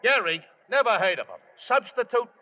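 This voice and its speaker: High-voiced brash ballpark vendor. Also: male voice, very animated, a little fast. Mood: hawking, dismissive. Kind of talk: 1940s radio acting. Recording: dull vintage radio recording, roomy hall.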